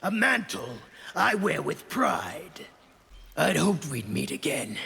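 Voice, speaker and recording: Harsh, gravelly warrior villain. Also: male voice, a little high, sing-song, pushed and bright, exaggerated voice. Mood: menacing, defiant. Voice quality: gravelly, raspy. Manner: slow, menacing, taunting. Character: brutal, proud, menacing outlaw male warrior. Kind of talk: video game villain acting. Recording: reverberant game arena hall.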